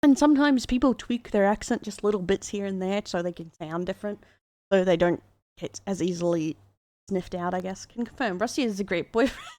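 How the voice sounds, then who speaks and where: A woman's voice: mid-range voice, a little fast, mellow. A woman with an Australian accent, chatting casually as a VTuber reacting to fan posts, laughing often and amused. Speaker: VTuber commentator.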